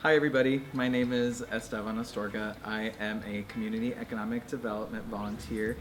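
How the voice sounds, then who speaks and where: A man's voice: on the low side, steady tone, fast talker. A young American man, a volunteer, speaking casually in an interview testimonial. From Arizona, United States. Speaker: Peace Corps volunteer. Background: music.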